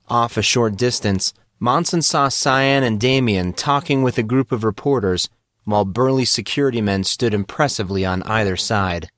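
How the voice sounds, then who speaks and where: A man's voice: fairly low pitch, a bit bright, dry recording, clean studio sound. A man reading scripted fantasy fiction aloud as an audiobook narrator.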